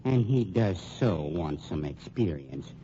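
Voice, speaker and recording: An actor playing a coolly menacing funeral home owner with silky, formal politeness in an old-time radio crime drama. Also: male voice, sits low, unhurried. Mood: smooth, mocking. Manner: formal, silkily polite, deadpan. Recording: muffled, noisy old radio recording.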